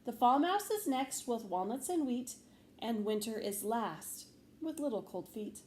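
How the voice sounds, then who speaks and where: Woman reading as little male mouse, storybook poet, calm, dreamy, deliberate, slightly high voice, noisy recording. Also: echoey room. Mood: reciting. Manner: slow, deliberate, simple delivery.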